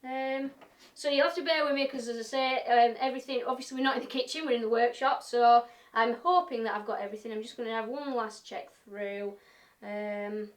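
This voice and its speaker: A woman with a broad Yorkshire accent and a fairly high voice, chatting through a live demo in a cheerful, self-mocking way. She is recorded in an echoey workshop from some way off the mic. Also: recorded from a distance. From Yorkshire, England. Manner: chatty, self-deprecating, talks through what she is doing. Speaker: woodturner hosting a live demo. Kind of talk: chatty live-stream demonstration. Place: home workshop.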